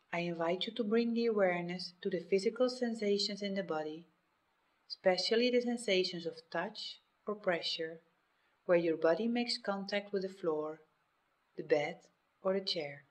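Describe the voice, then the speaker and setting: A woman leading a guided meditation in a measured, instructional way, speaking in short phrases with pauses. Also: on the low side. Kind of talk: guided meditation, scripted narration.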